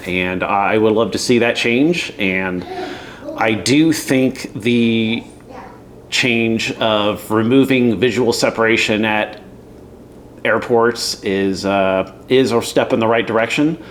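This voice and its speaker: A man's voice: on the low side, slow pace. A man giving casual, opinionated commentary in a conversational, emphatic way. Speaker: commentator reacting to a news segment.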